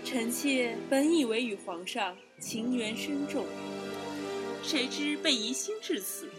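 A woman's voice: on the high side, slow, over music. A woman playing a proud, wounded young imperial consort in a Chinese period audio drama, sorrowful yet dignified. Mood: wounded reproach.

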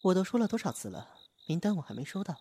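A woman playing a cool, scornful undercover informant in a radio drama, with a husky, mellow voice. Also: fairly low pitch, sing-song, fast, slight background noise. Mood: defiant, scornful. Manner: scornful, firm. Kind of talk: Chinese radio drama acting.

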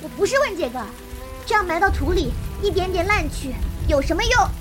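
A woman's voice: very high-pitched, animated, a little fast, over music. A woman playing a fierce, blunt young woman raised by wolves in a Chinese period audio drama. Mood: puzzled, blunt. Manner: blunt, questioning.

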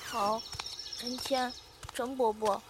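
A woman's voice: a little high, fairly even intonation, slow pace. A woman playing a shy, obedient small boy in a Chinese costume radio drama, childlike and polite. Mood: timid, obedient. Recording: faint background noise, outdoor ambience.

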